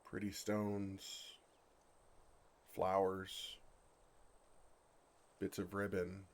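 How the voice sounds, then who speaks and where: Man acting a low-voiced, flustered, smitten dragon. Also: monotone. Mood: tender, wistful. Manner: flustered, halting, earnest delivery. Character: bashful, smitten male dragon in human form. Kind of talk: ASMR romantic fantasy audio roleplay.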